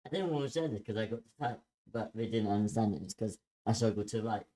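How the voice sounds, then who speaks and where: A man giving informal feedback in a group critique, speaking in a hesitant, halting way. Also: fairly low pitch, dark tone. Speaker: participant giving script feedback.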